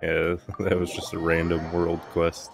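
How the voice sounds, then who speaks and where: A man comments casually while playing a video game. His voice is deep and he takes his time between words. Faint game music runs behind him. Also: completely flat delivery, a little slow, vocal fry. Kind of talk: quiet casual gameplay commentary.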